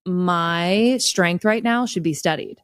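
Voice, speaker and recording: A woman's voice: lowish voice, slow pace, clean tone, no echo, soft background music. A woman guest on a podcast, telling casual funny stories with wry candour and emphatic punchlines. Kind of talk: casual comedic anecdote telling.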